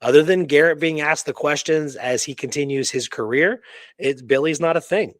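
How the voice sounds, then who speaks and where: A man's voice: fairly high pitch, moderate pace, no echo, studio quality. A man co-hosting a casual history discussion, speaking conversationally and emphatically, often checking in with "right?". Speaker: podcast co-host. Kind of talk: casual history discussion with some reading aloud.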